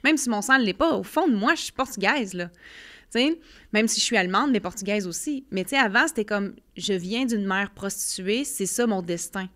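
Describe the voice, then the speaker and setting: A woman's voice: mid-range voice, normal speed. Earnest Quebec French woman telling her story. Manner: earnest, many fillers, lively storytelling with quoted inner voice. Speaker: podcast guest. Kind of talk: candid personal testimony.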